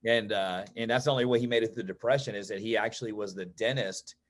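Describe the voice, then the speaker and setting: An American man from Texas tells personal stories as a guest in an online meeting, in an informal, animated way that often acts out dialogue. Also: fairly low pitch, quick pace. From Texas, United States. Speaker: interview guest, real estate agent and coach.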